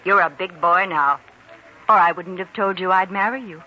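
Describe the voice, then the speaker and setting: A woman's voice: on the low side, normal speed, bright, forceful tone, highs cut off. An actress playing an affectionate, considerate young woman engaged to an intern in a 1940s radio drama. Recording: thin, noisy 1940s radio recording.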